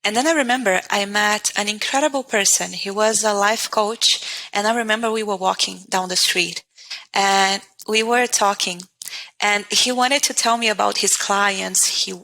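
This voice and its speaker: A woman's voice: mid-range voice, normal speed, sharp tone, low-quality recording. A woman with a Portuguese accent, a language teacher answering interview questions earnestly and restarting her sentences often as she thinks aloud. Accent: Portuguese-speaking. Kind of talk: casual interview.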